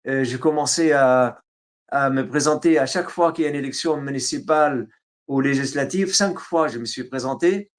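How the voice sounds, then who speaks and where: A middle-aged man with an Arabic accent, a native Arabic speaker from Syria, telling his life story as an interview guest, halting, with many fillers and restarts. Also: mid-range voice. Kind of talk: autobiographical interview.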